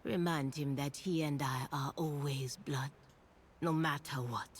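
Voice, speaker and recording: A woman voicing a stately, protective troll leader in a video game, speaking in a solemn, measured, hushed way. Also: deep voice, fairly even intonation, slight background noise. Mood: solemn, grateful.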